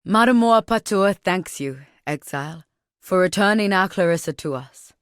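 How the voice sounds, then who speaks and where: Game role: solemn warrior matriarch, voiced by an actress, fairly deep female register, deliberate and formal, protective, very dry recording. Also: animated, clear, resonant tone, no room sound, natural voice. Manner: measured, grave, formal, deliberate phrasing. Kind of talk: video game character dialogue.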